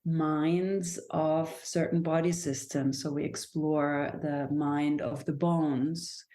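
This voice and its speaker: A woman's voice: low-pitched, steady tone, takes it slowly, warm tone. A German woman speaking English as a second language, reflective and hesitant, often restarting as she searches for words. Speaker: guest, dance and movement teacher. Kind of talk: reflective podcast conversation.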